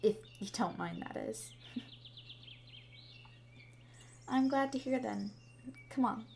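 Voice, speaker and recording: A woman's voice: on the high side, expressive, takes it slowly, a little mellow, heavily acted. A woman playing a shy, sweet schoolgirl with a crush in an ASMR roleplay, speaking in a bashful, hesitant, rambling way. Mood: shy, hopeful. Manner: bashful, hesitant, chatty, giggly. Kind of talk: ASMR roleplay, scripted to the listener. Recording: clearly audible background ambience noise.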